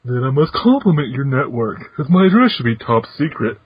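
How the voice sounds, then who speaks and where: An old retired chairman, genial and a little teasing, voiced by a man in a fan read-through. His husky voice rises and falls in a lively way, with playful pauses. The recording is muffled and dry. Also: medium pitch, dry recording. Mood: gentle.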